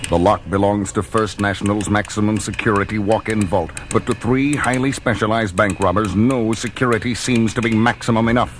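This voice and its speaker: A man's voice: fairly low pitch, steady tone, brisk, hardly any pauses, background noise, close to an everyday voice. A man performing as the narrator of a superhero adventure, with theatrical, suspenseful and emphatic scripted delivery.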